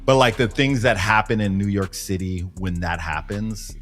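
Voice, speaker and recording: A man's voice: lowish voice, normal speed, over music. A man co-hosting a podcast, talking in a casual conversational style. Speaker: podcast co-host. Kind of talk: casual chat.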